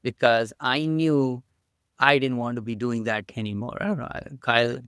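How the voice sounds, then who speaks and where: Animated Indian-accented man, smooth voice. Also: medium pitch, moderate pace, no room sound, clean studio sound. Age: middle-aged. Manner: animated, playful and self-mocking. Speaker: podcast guest. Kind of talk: casual interview talk.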